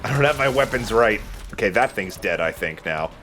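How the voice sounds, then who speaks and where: A man's voice: fairly low pitch, animated, fairly quick, a bit bright. A man giving casual, sweary commentary while he plays a video game. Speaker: let's play host playing the game. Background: game music.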